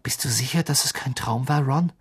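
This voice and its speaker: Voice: medium pitch, normal speed, husky. A reader voicing a frightened teenage boy in a storybook, urgent and insistent. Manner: urgent, pleading, shaky.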